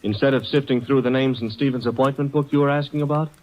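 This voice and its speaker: A man's voice: mid-range voice, fairly even intonation, fast, natural voice. An actor playing a breezy, wry detective's legman in a radio drama, with slangy, wisecracking delivery. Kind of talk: old-time radio drama acting. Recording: dull old radio recording.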